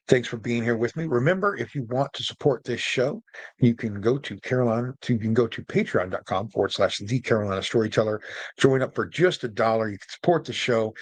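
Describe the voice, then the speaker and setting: A man's voice: mid-range voice, no room sound. Chatty man hosting, dry close sound. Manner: chatty, jokey, rambling asides. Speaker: host and storyteller. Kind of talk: casual explainer monologue.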